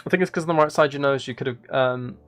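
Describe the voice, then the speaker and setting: A soft-spoken man chatting casually on a gaming livestream, thinking aloud in a musing, tentative way as he builds. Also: medium pitch, very fast. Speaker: livestream host.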